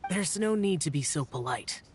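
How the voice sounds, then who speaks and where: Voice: mid-range voice, expressive, moderate pace, noisy background, natural voice. An actor voicing an aloof, stern, youthful immortal male warrior in a video game, curt and cool.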